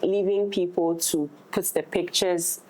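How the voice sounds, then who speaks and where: A woman's voice: lowish voice, steady tone, measured pace, a little mellow, poor audio. A woman talking casually as an actress, repeating words for emphasis. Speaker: actress in discussion. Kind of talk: casual unscripted conversation.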